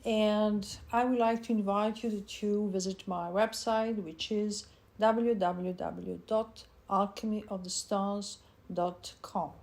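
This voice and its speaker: A woman from Serbia, speaking, presents her book with slow, halting speech and frequent pauses. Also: medium pitch, steady tone, darkish tone. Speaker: author and divination teacher.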